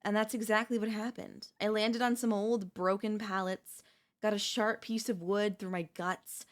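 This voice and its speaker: A woman's voice: mid-range voice, fairly even intonation, moderate pace, close to an everyday voice. A woman voicing a chatty female zombie who cheerfully and conversationally coaxes in a comedic audio roleplay. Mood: wry storytelling. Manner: conversational, coaxing, wheedling. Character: chatty, cheerfully persuasive female zombie craving human flesh.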